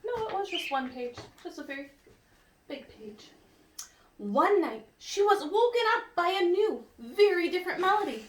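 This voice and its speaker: A woman reading a picture book aloud to children in an expressive, teacherly way, pausing to ask questions and explain. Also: extremely high pitch. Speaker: read-aloud storyteller for children. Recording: roomy, distant mic with faint noise.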